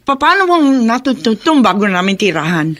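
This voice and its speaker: A woman's voice: on the high side, sing-song, fairly bright tone. A woman playing a frightened villainess who stammers and feigns bravery in a Filipino radio drama. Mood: frightened, stalling. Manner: halting, stammering.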